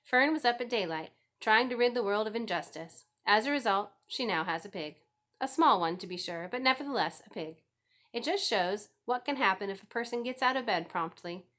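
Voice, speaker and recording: Woman reading as a wry, gently firm farm dad. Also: medium pitch, animated, fairly quick, close to an everyday voice. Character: calm, wry, kindly farmer father.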